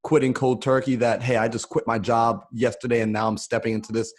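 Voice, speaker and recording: A man's voice: mid-range voice, flat delivery, brisk. A young man hosting an interview from his home office over a video call, asking long, earnest questions. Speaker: podcast host. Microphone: desk microphone on video call.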